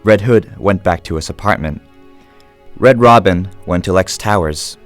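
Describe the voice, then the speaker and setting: A man's voice: sits low, measured pace, faint music behind. A man narrating a radio drama in a scripted storytelling style.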